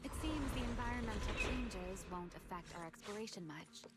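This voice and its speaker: An actress playing a poised, wistful young woman adventurer in a video game, speaking in measured, elegant, formal phrases. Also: medium pitch, expressive, lightly acted. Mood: wry, unbothered. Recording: game music over the voice.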